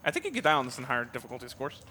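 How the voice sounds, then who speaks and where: Bright, sweary male game commentator. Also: medium pitch, sing-song, very fast. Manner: sweary, wisecracking. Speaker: let's play commentator. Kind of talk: casual, joking game commentary. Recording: faint noise, game audio behind. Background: video game sound.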